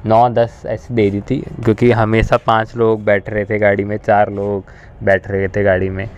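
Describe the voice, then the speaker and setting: A man's voice: lowish voice, smooth. A man talking casually as a car vlogger, informally mixing in English words. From India. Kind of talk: casual road-trip vlog talk.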